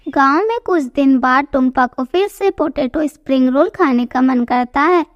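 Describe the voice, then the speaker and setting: High-pitched female story narrator. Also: normal speed, dry recording, muffled recording. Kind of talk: scripted narration of a Hindi cartoon moral story.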